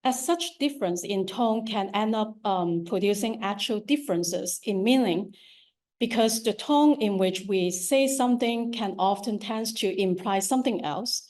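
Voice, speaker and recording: A woman with a strong Cantonese accent, an artist giving a lecture about her work, often pausing with ums and restarting her sentences. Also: medium pitch, a little mellow. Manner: hesitant, many ums and restarts. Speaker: visual artist giving a talk. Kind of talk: lecture on her art practice.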